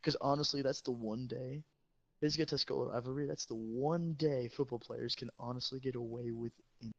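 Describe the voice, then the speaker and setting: A teenage boy, a high school football player, telling stories in a casual, profane and boastful way. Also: fairly even intonation, a little fast, dry recording. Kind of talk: casual storytelling chat. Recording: dull lo-fi sound.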